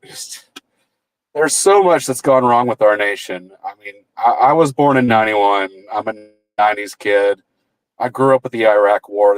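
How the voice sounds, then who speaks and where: A man's voice: lowish voice, dry recording, low-quality recording. A man in his thirties, a political candidate talking as a guest in a casual interview, rambling and often restarting his sentences over a video call. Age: 30s. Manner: rambling, with frequent restarts and repeated words.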